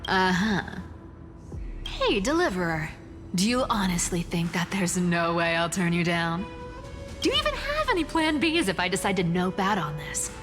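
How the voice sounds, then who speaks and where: A woman's voice: lowish voice, huge pitch swings, moderate pace. A woman playing a sly, teasing trickster demigod in a game, with a playful drawl. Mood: shrewd, teasing. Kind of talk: scripted game drama acting. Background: music.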